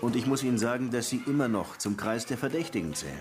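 An actor playing a sardonic, insistent police lieutenant interrogating suspects in a radio crime drama. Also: male voice, medium pitch, animated, fast, over music, close to an everyday voice. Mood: stern. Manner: dry, insistent, pressing.

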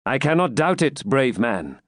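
A man's voice: mid-range voice, very animated, unhurried, no echo, studio quality, pitched above the performer's own voice. A man voicing a loyal, anxious young knight in a dramatic storybook reading, earnest and formal in his archaic speech. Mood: concerned.

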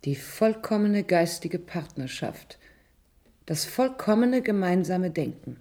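A woman's voice: on the low side, lively intonation, takes it slowly, mellow. An actress playing a wary, reluctant woman chosen as a test subject in a radio play, questioning hesitantly in formal speech. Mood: stunned.

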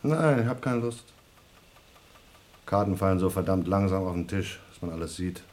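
A man's voice: low-pitched, animated, moderate pace, a little mellow. An actor playing a rule-minded male astronaut on a moon station in a radio play, speaking in a dry, dutiful way.

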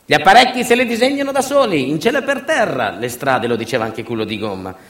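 Echoey man in dramatic theatrical monologue. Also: on the high side. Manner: intense, rhythmic, repetitive delivery with dramatic pauses. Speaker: stage actor and narrator. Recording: roomy, echoing sound.

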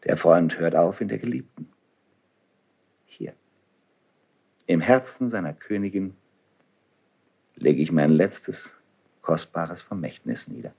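Doomed idealistic nobleman, husky, low-pitched, declamatory, solemn, fervent, 1970s radio play verse. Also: expressive, slow pace, pauses often, darkish tone, full character voice. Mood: solemn, confessional. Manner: declamatory, solemn, emphatic delivery. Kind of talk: 1970s German radio drama, classical stage verse.

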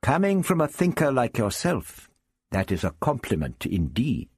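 A man's voice: medium pitch, wildly swooping pitch, normal speed, darkish tone, husky, no echo, studio quality, pitched above the performer's own voice, heavily acted. A man voicing a proud, testy old doctor in an audiobook story, with sniffy, questioning interjections. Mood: musing, gracious.

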